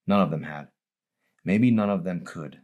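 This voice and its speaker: A man's voice: deep voice, moderate pace, a little mellow, lo-fi sound. A man reading his own novel aloud as a dramatic audiobook narration.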